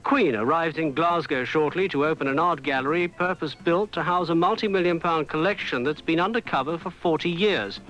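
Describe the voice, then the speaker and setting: A man with a fairly high voice reads the news in a crisp, formal way. Light music sits behind the old radio recording. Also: brisk, hardly any pauses, fairly bright tone, highs cut off. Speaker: newsreader. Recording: archive radio broadcast.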